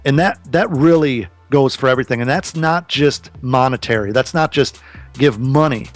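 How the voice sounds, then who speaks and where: A man's voice: mid-range voice, moderate pace, soft background music. A man in his thirties, a podcast guest, talking earnestly and casually about business, swearing now and then. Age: 30s.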